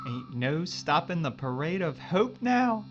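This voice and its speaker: An actor playing a loyal, joking spaceship engineer in a science-fiction audio drama, with a casual, folksy manner. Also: male voice, on the high side, sing-song, a little slow. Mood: gloating. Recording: dull lo-fi sound, faint music.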